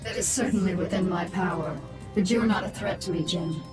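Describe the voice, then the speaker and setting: A woman playing a cold, proud alien swarm commander in a video game, speaking in an imperious, menacing, deliberate way. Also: on the low side, recorded from a distance, natural voice. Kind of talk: dramatic video game cutscene acting. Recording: echoing game audio under music.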